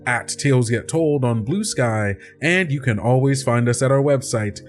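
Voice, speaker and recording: A man hosts and plays on a tabletop story podcast. His voice sits at a medium pitch and his delivery is conversational, with music playing softly behind him. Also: normal speed. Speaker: podcast host and player. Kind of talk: conversational actual-play podcast talk.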